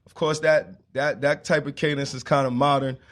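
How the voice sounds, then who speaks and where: A man's voice: medium pitch, steady tone, moderate pace, a bit bright. A man in his late twenties chatting casually as an interview guest, talking in emphatic slang and often checking that he is understood. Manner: slangy, emphatic street talk with repeated check-in phrases. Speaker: guest, hip hop emcee. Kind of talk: casual radio interview chat.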